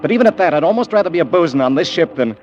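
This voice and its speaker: An actor playing an eager, ambitious young merchant navy officer in a classic radio drama. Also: male voice, fairly high pitch, expressive, rapid-fire, darkish tone, natural voice. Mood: eager, admiring. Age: young adult. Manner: eager, earnest. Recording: muffled, noisy old radio recording.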